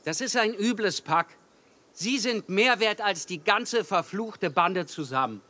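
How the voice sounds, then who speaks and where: Earnest friend, bright voice. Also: male voice, high voice, expressive, measured pace, heavily acted. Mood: indignant. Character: earnest male friend, loyal and outspoken. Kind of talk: radio drama dialogue.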